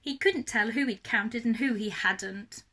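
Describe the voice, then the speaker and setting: A woman reading a story aloud as a primary teacher would, expressively and deliberately for young children. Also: a little high, pushed and bright.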